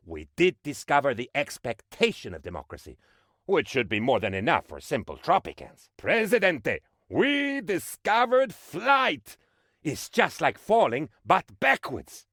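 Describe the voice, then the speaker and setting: An actor playing an eager, bumbling, cheerfully shameless aide to a dictator in a video game, with a theatrical, mock-official delivery. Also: male voice, fairly high pitch, a bit bright, slightly rough, no room sound, clean studio sound, full character voice. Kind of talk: comic video game voice acting, reporting to his leader.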